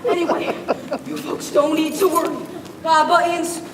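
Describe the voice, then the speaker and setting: A woman playing an agitated older male button-store owner and retired cop, ranting and shouting at the crowd. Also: very high voice, flat delivery, normal speed. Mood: sheepish. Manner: ranting, shouting, laughing, then sheepish. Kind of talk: live stage comedy play. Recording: roomy hall from far off, audience noise.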